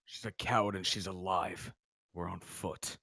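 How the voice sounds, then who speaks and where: A man playing a grim, terse knight who speaks quietly and dryly at a screenplay table read. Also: low-pitched, steady tone, a little slow, gravelly, slightly rough, dry recording, exaggerated voice. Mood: curt. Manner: quiet, dry, clipped.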